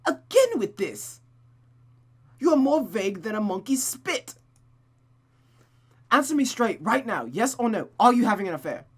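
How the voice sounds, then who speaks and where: A performer voicing an angry, jealous, sharp-tongued woman in a visual novel. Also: medium pitch, very animated, measured pace, long pauses, breathy edge, pitched above the performer's own voice. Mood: furious, accusing.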